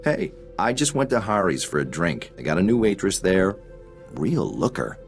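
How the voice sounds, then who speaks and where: Shady spy contact for a game, extremely low, creaky, casual and evasive. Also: male voice, big pitch swings, moderate pace, soft background music, heavily acted. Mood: flippant. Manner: wry, offhand, conspiratorial. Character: world-weary, sly male intelligence contact, casual and evasive. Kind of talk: video game dialogue acting.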